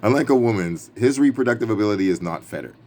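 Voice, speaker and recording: A man's voice: on the low side, animated, fast talker, dark tone. A man reading a book aloud in an emphatic, playful manner, breaking away for casual, wry asides of his own. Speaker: reader and commentator. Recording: clearly noisy background ambience.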